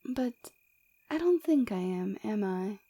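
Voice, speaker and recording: Casting: woman to play seductive villainess for ASMR roleplay; soft, dark-toned, breathy delivery, coy and teasing, close on mic. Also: mid-range voice, lively intonation, unhurried.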